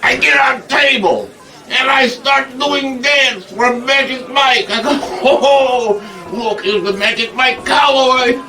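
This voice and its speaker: A man's voice: a little high, very animated, slow pace, pauses often, bright, forceful tone, recorded from a distance, heavily acted, poor audio. A man performing a comic character named Igor in put-on broken English, rambling and laughing a lot. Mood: boastful. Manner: broken grammar, frequent laughing. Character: foreign male hunchback-type comic Igor, boastful. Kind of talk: comic monologue.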